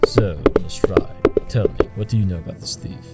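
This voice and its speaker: A man voicing a coolly arrogant, analytical pony detective, curt and measured, in an audio drama. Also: sits low, loud music behind the voice, close to an everyday voice. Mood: inquisitive. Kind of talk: audio drama character acting.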